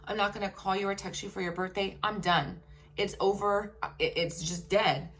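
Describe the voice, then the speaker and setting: A woman telling a personal story to her viewers. She speaks frankly and emphatically and keeps checking in with "okay?". Her voice is turning raspy after a long workday. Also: medium pitch, fairly even intonation, normal speed, a bit bright.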